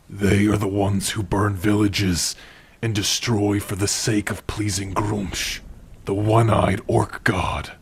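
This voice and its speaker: A man plays a protective orc in an intimate ASMR roleplay. His voice is lowish and extremely breathy and husky. He speaks slowly and haltingly, with a tender, worried softness. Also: exaggerated voice. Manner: soft, halting, hushed, intimate.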